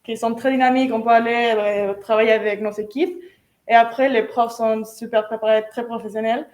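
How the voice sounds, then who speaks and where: A young woman with a higher voice and a non-native ear for French answers a host's questions, often stopping to hunt for the right word. Her phrasing is halting and a little clumsy. The room gives her voice a noticeable echo. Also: steady tone, a little mellow. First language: not French. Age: young adult. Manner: searches for words, hesitant non-native phrasing. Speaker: first-year business student. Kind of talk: live interview answers. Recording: echoey room.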